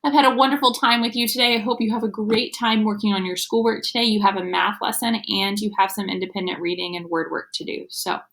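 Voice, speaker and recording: Bright-voiced woman teaching young children. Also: medium pitch, steady tone, quick pace, few pauses. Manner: instructive, addressing young children, sounding out words. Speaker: elementary school teacher. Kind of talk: children's read-aloud reading lesson.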